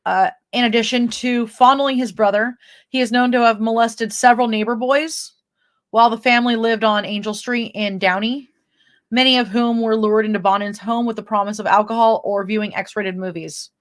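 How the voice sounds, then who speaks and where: A woman's voice: a little high, steady tone, normal speed. A woman co-hosting a true-crime podcast, moving between reading notes and informal banter with her partner. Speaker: podcast co-host. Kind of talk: true-crime podcast talk, part read from notes, part casual chat.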